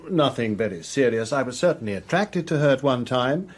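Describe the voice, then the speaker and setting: An actor playing a candid, offhand gentleman in a radio drama. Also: mid-range voice, lively intonation, fairly quick, lightly acted.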